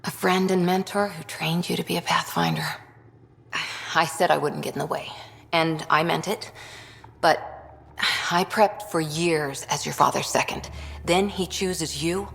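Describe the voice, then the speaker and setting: A tough, guarded woman soldier in a sci-fi game. Her voice sits low with a creaky edge, and she speaks bluntly and dryly. It rings with long reverb. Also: unhurried, a bit bright. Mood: resentful, resigned. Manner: blunt, dry. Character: guarded, tough female soldier, proud second-in-command. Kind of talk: video game dialogue acting.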